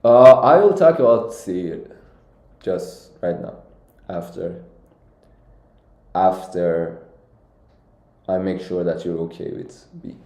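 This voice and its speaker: Man from Iran, young adult, live coding walkthrough, mellow voice, roomy echo. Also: deep voice. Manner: thinks aloud with frequent fillers and restarts. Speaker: competitive programming streamer.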